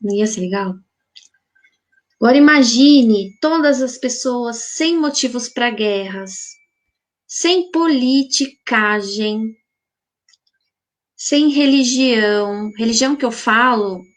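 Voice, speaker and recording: Lady hosting a live spiritual chat, slow and thoughtful, keeps asking questions, kinda high voice. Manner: slow, musing, full of rhetorical questions. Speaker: spiritual healing group host. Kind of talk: live reflective talk answering viewer comments.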